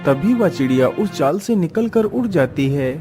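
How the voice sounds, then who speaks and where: A man's voice: fairly high pitch, expressive, moderate pace, over music. A man reading scripted narration for a children's cartoon story.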